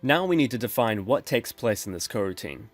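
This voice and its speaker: A man calmly explaining code step by step as the host of a screen-recorded programming tutorial. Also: lowish voice, brisk, soft background music. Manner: steady explanatory, addresses viewers as folks.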